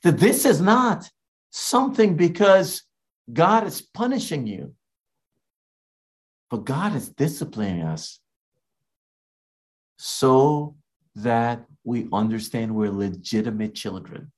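A man from Guyana, an evangelist, preaching a sermon in a slow, deliberate way with emphatic rises. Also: mid-range voice, animated.

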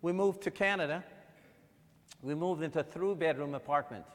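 A man from Guyana preaching a sermon, moving from quiet personal storytelling to loud, fervent emphasis. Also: a little high, moderate pace, long reverb tail.

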